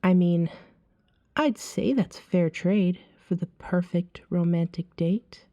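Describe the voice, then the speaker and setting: A woman voicing a seductive, gently hypnotic female vampire in a soft ASMR roleplay, breathy and often near a whisper, coaxing and soothing as she tells a story to the listener. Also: on the low side, lo-fi sound. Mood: coaxing, teasing. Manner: slow, soothing, hypnotic second-person narration. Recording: long hall-like reverb.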